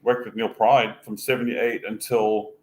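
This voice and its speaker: Older man reminiscing in a low voice. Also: little pitch movement, a little mellow, low-quality recording. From Hong Kong. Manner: reminiscing, halting with many fillers and restarts. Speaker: guest, former sail designer. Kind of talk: casual podcast interview.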